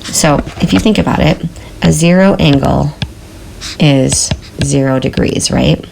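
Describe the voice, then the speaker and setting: A woman teacher talks through a recorded math lesson, her voice hoarse from a sore throat. Her low, heavily gravelly tone stays patient as she keeps checking in with students. Faint noise hums under the recording. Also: a little slow. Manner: patient, checks in with frequent "okay?".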